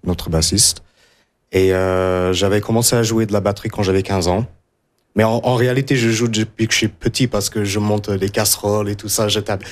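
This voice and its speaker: A young man from the United States, a drummer being interviewed, talking hesitantly with many pauses and restarts. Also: lowish voice. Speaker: drummer, guest. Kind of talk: radio interview.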